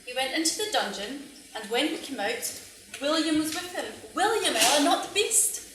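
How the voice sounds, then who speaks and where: A woman on stage in an amateur play, acting a lively, teasing young fairy-tale queen. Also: sits high, normal speed. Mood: delighted. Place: theatre stage, live performance. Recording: very echoey stage from far off, noisy.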